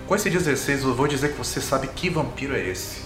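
A man's voice: medium pitch, fairly quick. A man with a Brazilian accent narrating a tabletop game as game master. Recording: roomy echo, music underneath.

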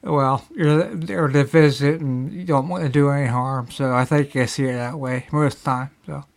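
A man's voice: mid-range voice, steady tone, normal speed, creaky. A man in his fifties, hosting a podcast interview in an easygoing way and laughing often. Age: 50s. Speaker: podcast host. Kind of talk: casual interview.

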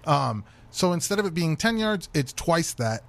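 Male radio co-host. Slightly high pitch, very lively intonation, casual explainer. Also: moderate pace, creaky. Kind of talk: casual sports talk. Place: radio studio.

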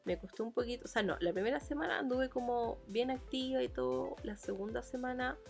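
A woman's voice: a little high, a little fast, gravelly, soft background music. A woman with a Chilean accent from Santiago, chatting informally and loosely in a solo podcast monologue. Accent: Chilean Spanish (Santiago). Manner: informal, rambling, colloquial. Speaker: podcast host.

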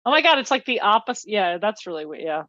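A woman's voice: medium pitch, very animated, fast, pushed and bright, no echo, lo-fi sound. A woman with an American accent talking casually about films as a podcast co-host. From New Jersey, United States. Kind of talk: casual film discussion.

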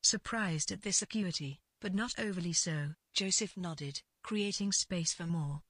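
A woman narrates a piece of literary fiction with measured, deliberate phrasing. Her voice is slightly low and noticeably husky. The recording is dry, with almost no room sound. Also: a bit bright, no echo.

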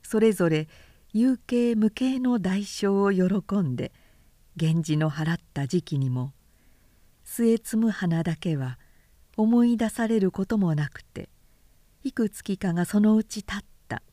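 A woman with a mellow, warm voice narrates a literary tale. Her delivery is measured and careful, and the sound is close and dry. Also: medium pitch, animated, no room sound. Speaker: narrator reading classic literature aloud. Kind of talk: scripted audiobook narration.

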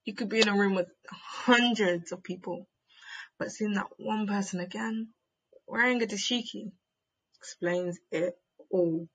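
A young woman with a husky, slightly breathy voice. She speaks in a measured, rhythmic way, as if reading spoken word aloud. Her pitch sits in a middle register. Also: fairly bright tone, rough recording. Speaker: spoken word poet and podcast co-host. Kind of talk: spoken word reading, then casual discussion.

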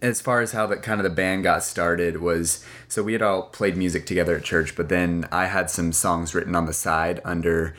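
A man's voice: deep voice, fairly even intonation, normal speed, few pauses, a bit bright. A young man from Singapore, a band's lead singer, chatting casually on a podcast and laughing easily. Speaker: lead singer of a band, guest.

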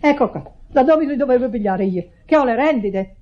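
A woman's voice: high-pitched, expressive, moderate pace, roomy sound. An actress with a Neapolitan accent playing a defensive, righteous middle-aged sister in a radio drama, insistent and repeating her words. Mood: defensive, offended. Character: defensive, wounded, righteous sister running the household. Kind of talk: stage-style Italian radio drama acting. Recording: muffled old radio drama recording.

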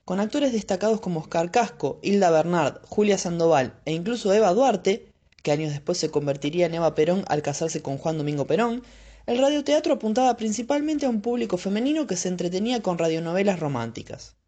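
Casting: Argentine female narrator, mellow, scripted and measured. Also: lowish voice, animated. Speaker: podcast host and narrator.

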